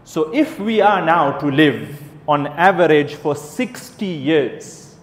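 Male preacher, fairly high voice, rhetorical and repetitive, big reverberant hall. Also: lively intonation, slow pace. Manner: rhetorical questions, emphatic repetition. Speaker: Islamic preacher. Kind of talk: sermon to a live crowd. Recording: echoey hall with long reverb.

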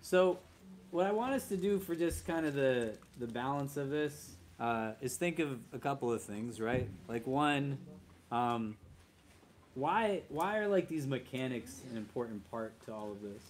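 A man's voice: mid-range voice, animated, a little slow, a little hiss or hum. A man teaching a class for new Muslims in a loose, conversational way, often checking with "do you get what I mean?". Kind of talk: casual spoken class.

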